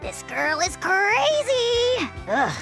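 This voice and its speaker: A very high, piercing little dragon lord, swinging wildly as it boasts. It is pompous, blustering and full of scorn for everyone around it. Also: sing-song, extremely slow, a bit bright, soft background music, heavily acted. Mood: scornful. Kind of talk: video game character acting.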